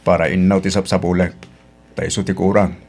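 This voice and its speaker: A man's voice: sits low, flat delivery, fairly quick, a little mellow. A man acting a helpful middle-aged uncle and restaurant boss in an audio drama, with an Ilocano Filipino accent and a matter-of-fact, advising manner.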